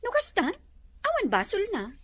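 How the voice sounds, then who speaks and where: A woman plays a nosy, pushy friend in an audio drama. Her high voice swoops about in a playful, teasing manner. The recording has very little room sound. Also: moderate pace, no room sound, heavily acted. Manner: teasing, animated. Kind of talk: Ilocano radio-style drama acting.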